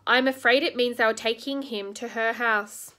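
A woman voicing a gloomy, kindly male beaver in a storybook reading, speaking slow and grave. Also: on the high side, steady tone, bright, forceful tone.

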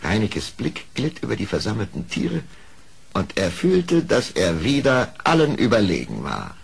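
A man narrating an animal fable radio play in a gravelly, very raspy voice with dramatic phrasing. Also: fairly low pitch, wildly swooping pitch, measured pace, exaggerated voice.

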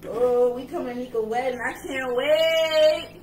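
A woman's voice: high voice, unhurried. Co-host: New York woman, thirties, blunt and joking, a little away from the mic in an echoey, noisy kitchen. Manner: laid-back, blunt, joking. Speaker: page admin and co-host. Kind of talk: casual chat on a livestream. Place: indoor kitchen. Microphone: camera or laptop mic. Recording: echoey kitchen from a distance, with noise.

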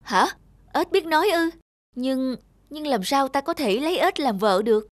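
A woman doing an anxious young prince, high-pitched. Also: expressive, normal speed, dry recording. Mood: worried. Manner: hesitant, questioning. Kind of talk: storybook cartoon dialogue.

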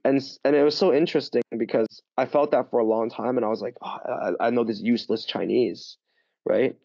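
A man's voice: medium pitch, fairly quick, gravelly, a little mellow, no echo, muffled recording. A young man from Canada, a guest on a video-call interview, talking casually with lots of fillers and restarts. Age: young adult. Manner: chatty, many fillers and restarts. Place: small room indoors. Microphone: headset on a video call.

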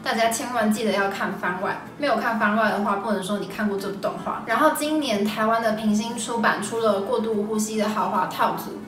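A woman's voice: mid-range voice, fairly quick, few pauses, a bit bright. Young woman w/ taiwanese mandarin accent doing a vlog rec, roomy echo, music behind her. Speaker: video host. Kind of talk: scripted recommendation vlog. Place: small indoor room. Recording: echoey room, slightly distant mic. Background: background music.

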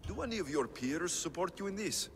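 Dry, animated warrior, echoing. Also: male voice, sits high, brisk, a little hiss or hum. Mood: sceptical. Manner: curt, sceptical, dry. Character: stoic, wry ancient Greek mercenary warrior. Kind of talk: video game cutscene acting.

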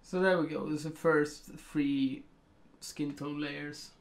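A man's voice: on the high side, fairly even intonation, takes it slowly, slight background noise. A middle-aged man from Sweden with a Swedish accent, talking through a photo editing tutorial at his computer and thinking aloud with many ums and uhs. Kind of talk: casual screen-recorded tutorial.

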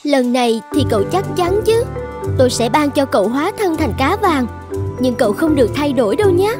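A woman voicing a kindly little wish-granting fairy in a children's cartoon, gently questioning in a measured way. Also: high voice, big pitch swings, music in the background. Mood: calm, caring.

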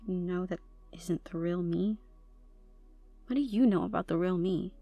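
A young woman playing a troubled tomboy goth college girl, shy and softly teasing, in an intimate ASMR roleplay with hesitant delivery and whispered asides. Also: on the low side, normal speed, creaky, no room sound, soft background music. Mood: guarded, surprised.

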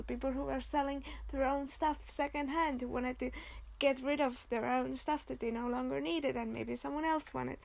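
A young Finnish-accented woman narrates her vlog in a high voice with a gravelly edge at the ends of her phrases. She talks in an easygoing, upbeat way and pads her sentences with little fillers as she goes. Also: darkish tone, no echo. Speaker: vlogger. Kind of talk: casual voice-over vlog narration.

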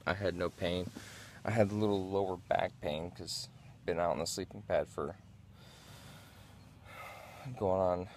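Very deep, slow-talking man, casual vlog. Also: fairly even intonation, slight background noise. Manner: low-key, halting, unscripted delivery. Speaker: camping gear reviewer. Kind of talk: casual vlog gear review. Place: inside a small backyard tent.

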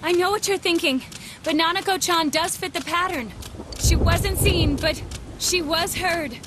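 A woman playing a composed, analytical teenage girl detective in a video game, speaking in measured, formal, precise phrases. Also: very high-pitched, background noise. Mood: grave, explanatory.

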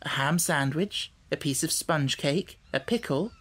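A man reading scripted storybook narration as an audiobook narrator, measured and carefully articulated. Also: fairly high pitch, a bit bright, slightly rough.

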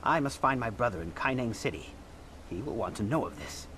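An actor playing a grave, wise old martial-arts master in a video game cutscene, speaking in a solemn, measured, formal way. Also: male voice, medium pitch, animated, fairly bright tone, slight background noise, lightly acted. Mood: solemn, urgent.

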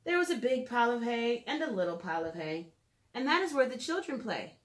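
A woman in her thirties reading aloud and leading a drawing lesson for preschoolers in a clear, simple storytelling manner, recorded in a room at home. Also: on the high side, very animated, brisk. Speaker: children's educator reading and drawing. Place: small room at home, indoors.

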